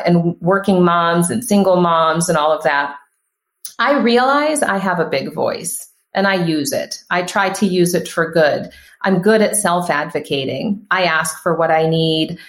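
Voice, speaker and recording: Emphatic woman in an echoey room. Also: lowish voice. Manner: emphatic, animated, frequent restarts. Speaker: podcast guest. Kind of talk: casual conversational interview.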